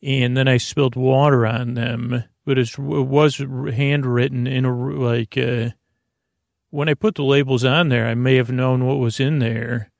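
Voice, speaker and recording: A man with a creaky voice hosting a sleep podcast, lulling and soothing as he meanders haltingly through a rambling bedtime monologue. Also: medium pitch, little pitch movement, no room sound. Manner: meandering, halting, repeats and drifts off topic. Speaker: podcast host.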